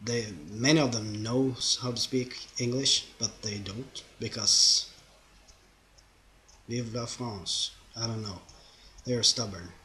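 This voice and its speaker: A man's voice: medium pitch, fairly even intonation, takes it slowly, bright, forceful tone, slight background noise. A young man from Sweden with a Swedish accent, rambling casually through viewer questions in his second language. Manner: chatty and rambling, loose grammar, frequent fillers. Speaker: YouTuber answering viewer questions. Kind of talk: casual Q&A vlog. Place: home, lives in Norway.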